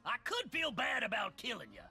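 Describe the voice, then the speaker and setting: A bright, piercing little redneck creature, cantankerous and crazy, with a scratchy Southern US drawl. The delivery is rowdy and swoops around like a video game cartoon, with music underneath. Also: male voice, extremely high pitch, big pitch swings, fairly quick, exaggerated voice. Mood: savagely gleeful. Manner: rowdy, cantankerous, folksy, often shouting. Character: crazy belligerent little male yordle, redneck old grump. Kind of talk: video game character voice lines.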